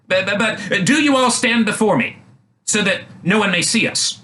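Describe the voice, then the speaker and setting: A man's voice: sits high, normal speed, sharp tone, a little hiss or hum, pitched above the performer's own voice. A man voicing a haughty, spoiled young princess in a fairy tale, imperious and affected.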